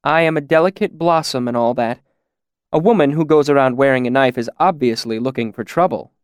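A man's voice: mid-range voice, fairly quick, clear, resonant tone, dry recording, clean studio sound, lightly acted. A man voicing a poised young woman who teases in a wry, matter-of-fact way.

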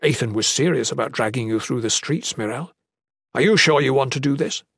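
A stern and earnest mage voiced by a male narrator speaks formally and deliberately in a fantasy audiobook. His mid-pitched voice is recorded close and dry. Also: animated, creaky, a bit bright, slightly rough, no room sound, full character voice. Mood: concerned. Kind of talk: fantasy audiobook character reading.

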